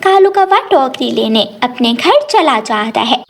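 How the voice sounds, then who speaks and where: A woman's voice: sits high, expressive, a little slow. A woman narrating a scripted children's story.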